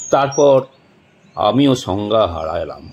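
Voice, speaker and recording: A man narrating a suspense story from a script in a dramatic, deliberate way, with long pauses. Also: on the low side, lively intonation, darkish tone, dull audio.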